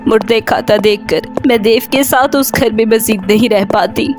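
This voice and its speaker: A woman's voice: a little high, normal speed, close to an everyday voice. A woman playing a fearful young wife who narrates her own horror story in a dramatic scripted style. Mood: fearful storytelling. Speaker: first-person story narrator. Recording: background music under voice.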